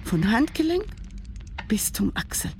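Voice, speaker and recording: An actress playing an elderly grandmother who tells a grandchild about her childhood, speaking haltingly with broken grammar. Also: a little high, very animated, a little mellow, slight background noise. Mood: grave. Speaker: narrator figure telling a childhood memory. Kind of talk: radio drama monologue.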